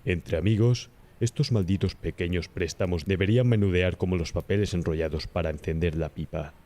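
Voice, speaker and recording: A man voicing a worldly young doctor, a smooth and approving accomplice with an assured, patronizing manner, in an audiobook. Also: deep voice, fairly quick, dark tone, close to an everyday voice. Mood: breezy. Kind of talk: audiobook character acting.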